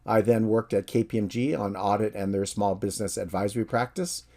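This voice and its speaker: Middle-aged American man, professor, course intro, low voice, mellow tone, calm and friendly. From San Francisco, USA. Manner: calm, friendly, measured explanation.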